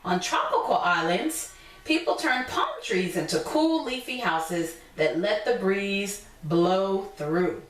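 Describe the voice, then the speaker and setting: A woman teacher reading a picture book aloud to young children, pausing to explain things simply in her own words. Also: medium pitch, expressive. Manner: instructive, repeats and explains points simply. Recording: echoey room, distant from mic.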